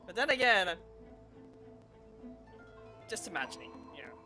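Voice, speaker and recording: A woman's voice: medium pitch, very animated, moderate pace. A woman streamer giving casual, off-the-cuff live commentary on a game. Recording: game music behind. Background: game music.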